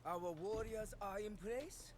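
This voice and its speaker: An actor voicing an arrogant young samurai villain who taunts and boasts, over game music. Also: male voice, sits high, slow pace, slight background noise. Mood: scheming.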